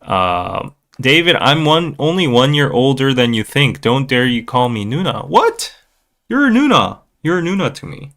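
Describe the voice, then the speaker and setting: A man hosting a casual livestream, talking in a playful, rambling way with halting restarts and replies to viewers. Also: medium pitch, big pitch swings, a bit bright. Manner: playful, jokey, often chuckling. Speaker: podcast livestream host. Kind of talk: casual livestream chat, reading viewer comments.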